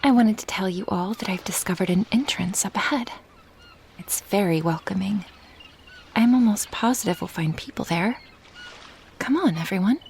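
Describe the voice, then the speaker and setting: A woman playing a giddy, curious woman doctor with a breathy, wonder-struck manner in an audio drama. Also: mid-range voice, normal speed, pauses often, a little mellow, a little hiss or hum, close to an everyday voice. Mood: eager. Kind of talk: ASMR audio drama acting.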